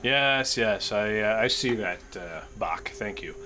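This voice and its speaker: A man playing a courteous human starship captain in a tabletop roleplay, speaking formally in halting, wordy, diplomatic phrases. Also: fairly low pitch, animated, pushed and bright, a little hiss or hum. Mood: diplomatic, careful. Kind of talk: improvised tabletop roleplay acting.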